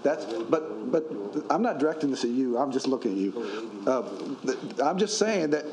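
A man's voice: medium pitch, animated, moderate pace, crowd noise, low-quality recording. A middle-aged man, an alderman, talking in a folksy, rambling way at a council meeting. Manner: folksy, rambling, self-deprecating. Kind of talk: council debate. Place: council chamber. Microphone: desk microphone.

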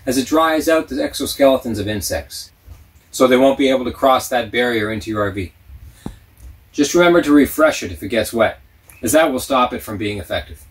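A middle-aged man calmly explaining a camping tip in scripted narration, recorded indoors in an RV. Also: medium pitch, poor audio. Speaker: vlogger.

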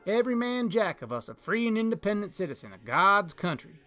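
Man acting out a loud folksy frontier orator, high-pitched. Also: very animated, fairly quick, soft background music, exaggerated voice. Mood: hearty, folksy. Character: folksy, patriotic frontier man orating to a crowd. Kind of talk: public speech in a storybook reading.

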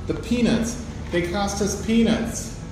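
A man in his thirties chatting casually through a grocery haul, relaxed and chatty, recorded on a camera mic in an apartment room. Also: sits high, takes it slowly. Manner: relaxed, chatty, chuckles often. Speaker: travel vlogger. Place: bright room of a rented apartment. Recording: roomy echo with clear background noise.

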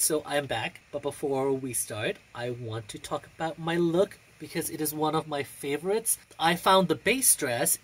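A man with a husky voice and a theatrical, deliberate delivery, speaking indoors into a phone a short distance away. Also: fairly high pitch, fairly bright tone. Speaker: drag performer and reader. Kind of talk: casual vlog chat and expressive poetry reading. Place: small room indoors. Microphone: phone on a stand a short distance away.

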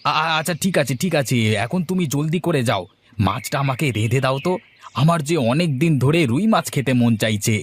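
High-voiced exasperated cartoon husband played by a man. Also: expressive, a little fast, slight background noise, close to an everyday voice. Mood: expectant. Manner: scolding, with questioning interjections. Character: exasperated, scolding village husband, quick to anger. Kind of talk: cartoon voice acting.

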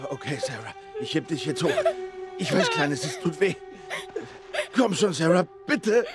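A man's voice: a little high, very animated, measured pace, loud music behind the voice. An actor playing a rugged, protective, emotional father in a dramatic video game. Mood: anguished. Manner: emotional, pleading, swings from hushed to crying out. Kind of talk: video game dramatic acting, German dub.

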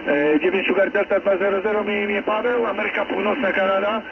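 A man's voice: high voice, steady tone, fast talker. A man working as an amateur radio operator, making contact and chatting with call signs over the radio. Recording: dull, very noisy radio transmission.

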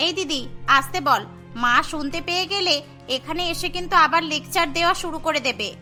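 A woman's voice: very high voice, brisk, pushed and bright, soft background music, heavily acted. A woman playing a sensible, teasing younger sister in a cartoon story. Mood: playful caution.

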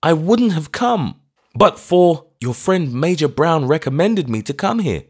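A man's voice: a little high, sing-song, measured pace, husky, pitched above the performer's own voice, exaggerated voice. A man voicing a meek, trembling old clergyman who stammers out anxious apologies. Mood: anxious pleading.

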